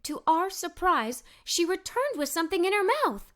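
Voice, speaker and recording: A woman narrating a children's story as a read-aloud, with animated, expressive delivery. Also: very high voice, moderate pace.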